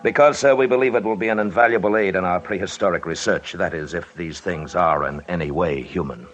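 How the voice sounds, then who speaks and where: A man's voice: low-pitched, brisk, faint music behind, natural voice. A man playing a sober, businesslike expedition leader, narrating and speaking in an old-time radio drama in a matter-of-fact way. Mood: respectful, earnest.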